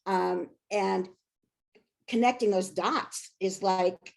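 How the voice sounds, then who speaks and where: An American woman with a medium-pitched voice speaks as a scholar in an interview. Her delivery is informal, as she thinks aloud and often breaks off to restart a thought. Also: slow pace, creaky, a little mellow. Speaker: scholar and author. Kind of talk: casual interview answers.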